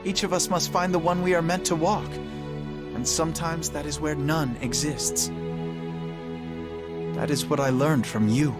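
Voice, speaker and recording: A man playing a noble, idealistic young king in a video game, speaking formally with measured earnestness. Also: fairly high pitch, steady tone, natural voice. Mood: solemn, reflective. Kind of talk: English video game voice acting. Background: music.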